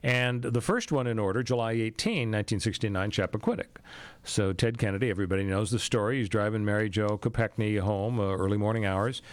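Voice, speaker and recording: A man's voice: fairly low pitch, fairly quick, dry recording. Emphatic American man hosting talk radio. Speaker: talk show host. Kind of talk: conversational news commentary.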